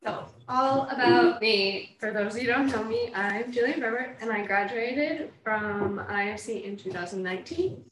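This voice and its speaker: A woman's voice: fairly high pitch, moderate pace, hardly any pauses, a bit bright, slight background noise. A young woman from Canada, a co-founder giving a casual, chatty talk to students. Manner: chatty, laughs often. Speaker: guest speaker, co-founder. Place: university classroom. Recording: echoey classroom, mic from afar.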